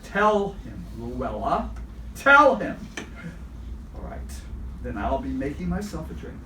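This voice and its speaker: A man's voice: on the high side, very animated, slow pace, sharp tone, heavily acted. Man acting testy, flustered fellow, distant and echoey. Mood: exasperated. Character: flustered, defensive male blackmail victim, testy. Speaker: actor. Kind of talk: rehearsed scripted comic radio play. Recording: distant, noisy, echoey rehearsal audio.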